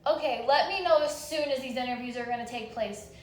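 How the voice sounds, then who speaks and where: A young woman calls to complain, and her high voice carries clear exasperation. She is insistent and confrontational, repeating what she wants. The recording sounds roomy and echoing. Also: a little fast. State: upset, exasperated. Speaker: caller. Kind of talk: phone complaint call.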